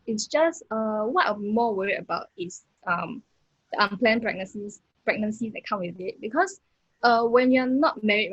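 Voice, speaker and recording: A woman's voice: medium pitch, fairly bright tone, husky, no room sound, dull audio. A woman in her twenties with a Singaporean accent, speaking carefully with many fillers as a panel guest. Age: 20s. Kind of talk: casual panel discussion.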